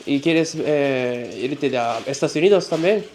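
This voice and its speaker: A man's voice: mid-range voice, measured pace, darkish tone, slight background noise. A man from Japan, narrating a handheld travel vlog and chatting upbeat with people in simple Spanish and English. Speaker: travel vlogger. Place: outdoors at a migrant shelter by railway tracks.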